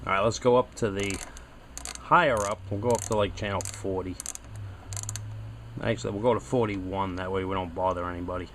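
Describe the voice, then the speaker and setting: Man thinking aloud over clear background noise. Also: sits low, fairly even intonation, a little fast, long pauses. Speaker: hobbyist video host testing radio antennas. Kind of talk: casual hands-on demonstration chat.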